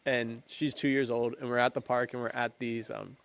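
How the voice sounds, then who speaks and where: Gravelly young American man chatting casually. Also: medium pitch, little pitch movement, moderate pace, a bit bright. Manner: slangy, jokey storytelling. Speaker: podcast co-host. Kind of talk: casual chat.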